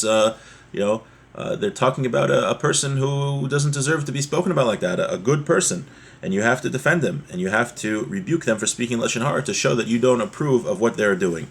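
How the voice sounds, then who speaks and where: A man giving a religious lesson as a teacher, explaining a text point by point and repeating key ideas, in a husky voice. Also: medium pitch, fairly bright tone. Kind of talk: Torah lesson, reading Hebrew text and explaining it.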